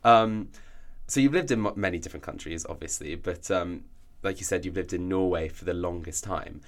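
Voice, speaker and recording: A young woman with a notably low voice hosts a casual podcast. She is bubbly and animated, often repeating her words as she talks. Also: brisk. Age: young adult. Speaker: podcast host. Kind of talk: casual chat.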